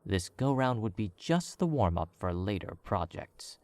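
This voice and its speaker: A man narrating a story in a measured, even storytelling manner. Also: on the low side, no echo. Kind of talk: scripted audiobook narration.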